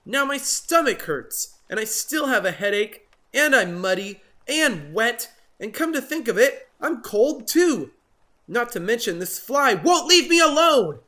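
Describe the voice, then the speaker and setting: A woman's voice: fairly high pitch, sing-song, unhurried, a bit bright, pitched above the performer's own voice. A woman voicing a grumpy, sulky male monkey in a children's storybook, grumbling in a whiny, emphatic way. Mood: miserable, complaining.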